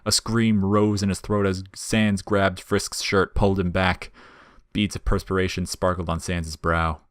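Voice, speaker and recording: Deep-voiced male story narrator. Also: little pitch movement, a little slow, clear, resonant tone, dry recording, clean studio sound. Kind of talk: scripted dramatic story narration.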